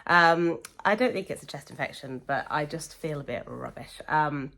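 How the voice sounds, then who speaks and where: A woman chatting to camera as a sewing vlogger, croaky from a cold, gushing warmly about her friends. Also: sits low, normal speed. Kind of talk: casual chat to camera.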